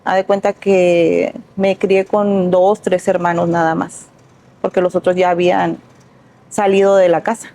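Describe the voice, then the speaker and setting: A woman's voice: fairly low pitch, little pitch movement, measured pace, mellow. A middle-aged woman from Sinaloa, reflectively telling her life story as a guest in an interview, with small restarts. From Sinaloa, Mexico. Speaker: guest, entrepreneur.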